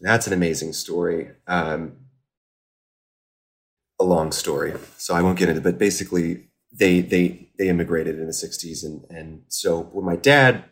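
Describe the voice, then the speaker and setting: Man, American accent, deep pitch, reflective, self-interrupting casual storyteller. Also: steady tone, normal speed. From Indiana, US. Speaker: podcast guest.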